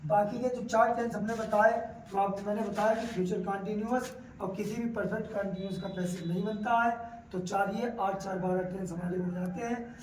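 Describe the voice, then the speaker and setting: High-voiced North Indian male teacher, echoey room. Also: fairly even intonation, brisk, darkish tone. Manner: didactic, repeats key phrases for emphasis. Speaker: English grammar teacher. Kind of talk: classroom grammar lesson, explanatory. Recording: roomy echo, distant mic, faint noise.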